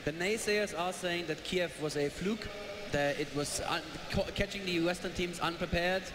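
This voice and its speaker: A man casting an esports match live, chatty and jokey, excitable. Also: sits high, normal speed. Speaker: esports caster. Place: large event hall with live audience. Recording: echoey hall, noisy crowd.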